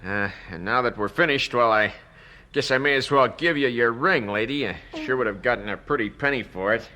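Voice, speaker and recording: A man playing a menacing, sardonic kidnapper boss in a 1970s radio drama, gruff and mocking. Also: medium pitch, a little fast, sharp tone, natural voice. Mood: resigned.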